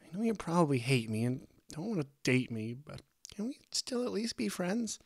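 A man voicing a timid young female bat pony, remorseful, nervous and halting, close to tears. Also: a little high, sing-song, gravelly, husky, no room sound, voice pushed up, exaggerated voice. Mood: tearful, apologetic.

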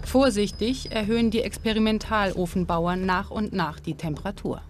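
A woman reads documentary narration in a calm, explanatory voice with a light, playful edge. Her pitch sits in an ordinary middle range. Background music plays clearly underneath her. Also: moderate pace.

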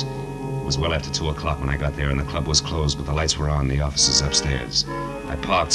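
An American actor playing a sardonic, hard-boiled private detective in 1940s radio noir, with clipped, wisecracking delivery. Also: male voice, extremely low pitch. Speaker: lead actor and narrator. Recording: noisy vintage 1940s radio recording.

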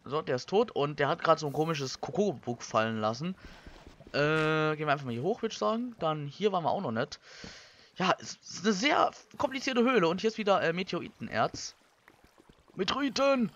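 A young man from Germany, giving casual Let's Play commentary and thinking out loud in colloquial language. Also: fairly high pitch, sing-song, fairly quick, a little hiss or hum. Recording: slightly dull, lo-fi microphone.

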